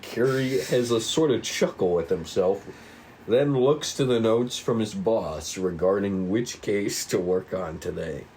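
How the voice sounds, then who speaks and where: Guy reading script directions aloud, deeper voice, bit of background noise. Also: darkish tone. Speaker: narrator of script table read. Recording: noisy room recording.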